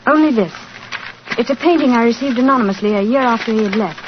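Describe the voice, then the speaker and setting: Woman acting fast-talking earnest young gentlewoman. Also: fairly high pitch, natural voice. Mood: anxious, eager. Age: young adult. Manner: urgent, earnest, polite. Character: earnest, pleading, lovelorn young English gentlewoman. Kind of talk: 1940s radio acting. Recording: noisy, muffled 1940s radio recording.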